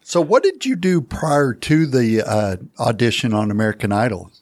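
A man's voice: medium pitch, lively intonation, normal speed, darkish tone, dry recording, studio quality. A man co-hosting a podcast interview, affirming the guest and asking follow-up questions. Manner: affirming, asks follow-up questions, frequent "wow". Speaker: podcast co-host.

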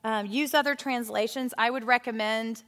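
Woman, Bible study teacher, higher voice, chatty and animated, long hall reverb. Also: normal speed. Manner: animated, chatty, with frequent fillers. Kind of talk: lively teaching talk to a women's Bible study group.

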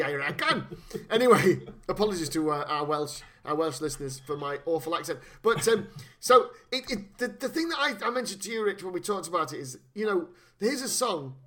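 High, bright-voiced man joking. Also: brisk. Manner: jokey, animated, swears freely. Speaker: podcast co-host. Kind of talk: casual film-review chat.

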